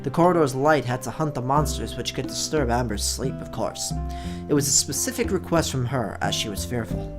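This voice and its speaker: Storytelling voice of mid pitch, over music. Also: fairly quick. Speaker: let's play host. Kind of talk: expressive story narration, read aloud. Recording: music playing behind the voice. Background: background music.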